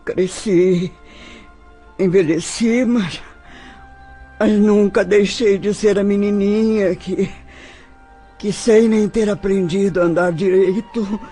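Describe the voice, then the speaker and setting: An actress playing an ailing, grieving older woman in an audio drama, halting and tearful as she pines for her father. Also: mid-range voice, fairly even intonation, mellow, husky, soft background music. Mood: tearful nostalgia. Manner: halting, tearful, sighing delivery. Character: sick, grieving elderly daughter calling for her dead father.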